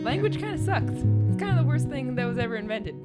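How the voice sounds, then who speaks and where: High-pitched young woman, hesitant and casual. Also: big pitch swings, fast. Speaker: singer. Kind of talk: casual chat. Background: acoustic guitar.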